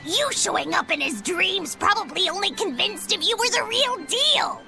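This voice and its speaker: An actress voicing a small, vain, excitable creature in a video game, brash and exclamatory. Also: female voice, very high-pitched, moderate pace, vocal fry, over music, full character voice. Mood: cheeky, smug. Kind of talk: English game voice acting.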